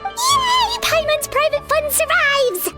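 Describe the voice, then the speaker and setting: A woman voicing a small, childlike fairy companion in a video game, excitable, greedy and vain, with an exclamatory manner. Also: very high voice, slow pace, soft background music, heavily acted. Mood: delighted. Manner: exclamatory, childish, refers to herself by name. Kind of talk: animated video game voice acting.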